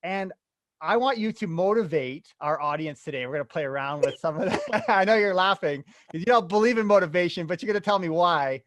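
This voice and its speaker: A young man hosts an investing podcast with eager, casual enthusiasm. His voice is pitched quite high for a man. The recording is dry, with no room sound. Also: a little fast, fairly bright tone, dry recording. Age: young adult. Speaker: podcast host. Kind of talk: upbeat podcast intro and interview.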